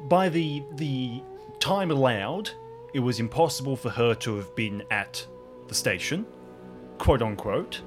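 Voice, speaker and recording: A man playing an earnest, indignant new park ranger in improvised roleplay, speaking in a halting but insistent way. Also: medium pitch, lively intonation, music in the background, close to an everyday voice. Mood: fuming, suspicious. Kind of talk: improvised roleplay, slipping between narration and character.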